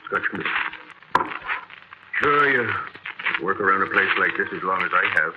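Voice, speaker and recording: A man's voice: low-pitched, animated, measured pace, lo-fi sound, very noisy. An actor playing an uneasy, superstitious barman with folksy, ungrammatical American talk, in 1940s radio drama style. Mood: matter-of-fact. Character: uneasy, superstitious old-time barman who believes in ghosts. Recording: old radio recording with music behind.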